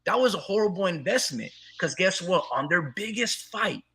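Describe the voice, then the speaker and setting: A man hosting a boxing talk show, arguing in an animated, profane way while losing his voice a little. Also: high-pitched, moderate pace. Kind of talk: heated casual boxing debate.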